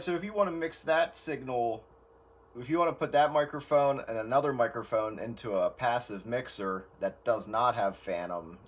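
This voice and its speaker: Lower-voiced man explaining gear informally. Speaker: audio gear hobbyist giving advice. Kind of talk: casual technical chat over radio.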